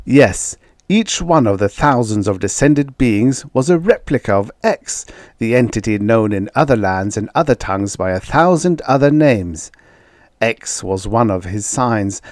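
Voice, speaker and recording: A man reading vintage science fiction aloud as an audiobook narrator, in a measured, dramatic storytelling manner with emphatic stresses. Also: mid-range voice, a little mellow, no echo, studio quality.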